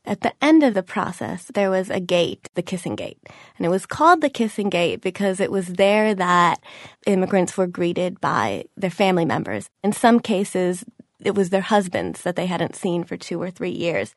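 A woman narrating a documentary in a calm, informative scripted style. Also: fairly low pitch, moderate pace, no room sound, clean studio sound.

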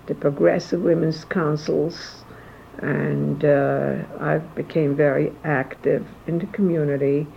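An older woman recalling her community organizing in an oral history interview, pausing as she remembers. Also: low voice, vocal fry. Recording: muffled with faint hiss.

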